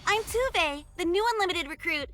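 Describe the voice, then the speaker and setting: An extremely high and bright young female recruit, presenting herself with perky self-assurance as an anime game character. Game music plays behind her. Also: normal speed.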